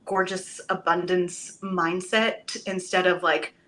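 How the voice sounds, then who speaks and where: Bright-voiced enthusiastic woman. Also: lowish voice, takes it slowly, rough recording. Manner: enthusiastic, animated storytelling with casual swearing. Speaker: Broadway actress guest. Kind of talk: casual podcast interview chat.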